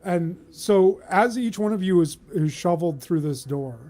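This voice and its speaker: A man's voice: high-pitched, animated, moderate pace, mellow. A man hosting and narrating a live tabletop game as game master in a casual way. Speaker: game master and stream host.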